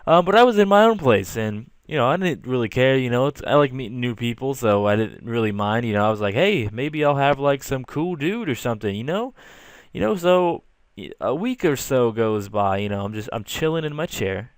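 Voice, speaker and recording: Young adult male storyteller, casual and slangy, smooth clear voice, dry recording. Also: medium pitch, animated, a little fast, no room sound. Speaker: storytelling video creator. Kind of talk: casual storytelling over rough drawings.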